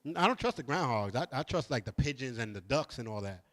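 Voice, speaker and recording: A man's voice: mid-range voice, fast. Laid-back New York man hosting. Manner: relaxed, laughing, repeats words. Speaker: host. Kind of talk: casual chat. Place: studio.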